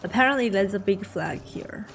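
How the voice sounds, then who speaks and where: A woman with a Mandarin accent and Mandarin as her first language, chatting casually and haltingly with her chat while streaming a game. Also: mid-range voice, lively intonation, gravelly, slight background noise. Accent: Mandarin-accented English. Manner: halting, chatty, answers chat with many restarts. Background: game music.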